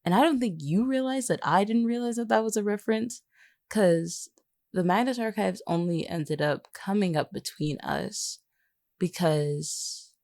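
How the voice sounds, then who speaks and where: A woman's voice: medium pitch, unhurried, darkish tone, dry recording, studio quality. A woman chatting casually as a podcast co-host, rambling and teasing as she goes. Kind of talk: casual behind-the-scenes podcast chat.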